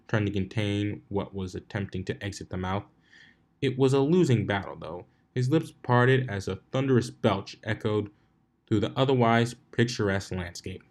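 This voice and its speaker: Male fan fiction narrator, somewhat low voice, reading scripted story prose.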